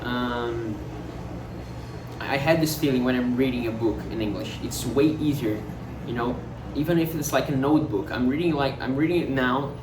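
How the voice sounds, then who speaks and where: A young man with a Brazilian Portuguese accent muses in a casual monologue, his speech full of fillers, restarts and searching for words. The sound is roomy and echoey, picked up from some distance. A clear layer of background noise sits under his voice. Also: medium pitch, normal speed. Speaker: vlogger. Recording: roomy echo, distant mic, noticeable noise.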